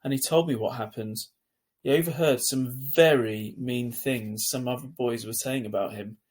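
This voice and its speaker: Male storyteller, mid-pitched with a husky edge, narrating a children's novel. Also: moderate pace, a little mellow. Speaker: teacher reading a story aloud.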